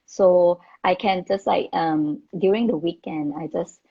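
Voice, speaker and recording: A woman's voice: fairly low pitch, unhurried, gravelly, slightly rough, dull audio. A young woman from Thailand, not an English speaker, chatting casually as a guest with plenty of fillers. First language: Thai. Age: young adult. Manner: chatty, relaxed, many fillers. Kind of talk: casual chat.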